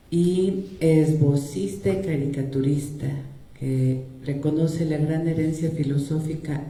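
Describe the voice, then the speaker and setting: A man's voice: fairly high pitch, mellow. A man with a Mexican Spanish accent moderating a conference and introducing the next speaker, with a somewhat hesitant delivery. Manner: hesitant, with fillers and restarts. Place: lecture hall. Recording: echoey hall.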